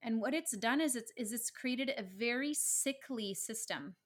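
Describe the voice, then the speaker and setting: A woman's voice: fairly high pitch, moderate pace. A woman co-hosting a podcast, speaking earnestly and reflectively about spirituality in casual conversation. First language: English. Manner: earnest, reflective, laughs easily. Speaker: podcast co-host.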